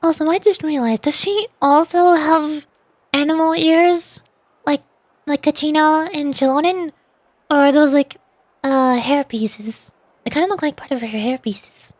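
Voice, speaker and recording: A woman's voice: high voice, a little slow, a little mellow, dry recording. A woman livestreamer chatting casually about a game in a bubbly, girlish manner. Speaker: streamer playing and voicing game lines. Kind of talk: casual livestream chat and commentary.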